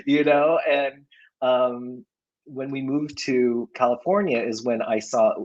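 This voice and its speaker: A man's voice: mid-range voice, slow, muffled recording. A man raised in the United States, answering interview questions in a hesitant way with many restarts and fillers. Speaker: guest filmmaker.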